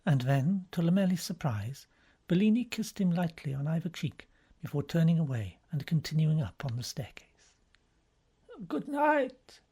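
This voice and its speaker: A man's voice: on the high side, expressive, moderate pace, mellow, breathy edge. A man reads a novel aloud as scripted audiobook narration.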